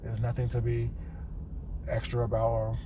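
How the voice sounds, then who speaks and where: A man recording a casual vlog on his way to work, with a groggy morning voice, rambling in a relaxed way and often asking "you know what I'm saying". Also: mid-range voice, normal speed. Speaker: vlogger. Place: car on the way to work. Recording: car and road noise behind.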